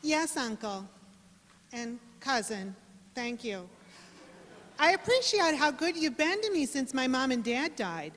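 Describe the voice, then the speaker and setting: A dutiful, meek young woman of 1940s radio drama, played by an actress. Her high voice swings sweetly up and down and echoes in a hall. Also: very animated, unhurried, long pauses, fairly bright tone, exaggerated voice. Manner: meek, obedient replies.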